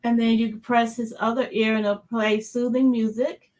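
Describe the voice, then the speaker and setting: A mature woman speaking as an interview guest in casual chat, halting and repeating words as she explains. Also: on the high side, level tone, a little mellow. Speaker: interview guest, children's author.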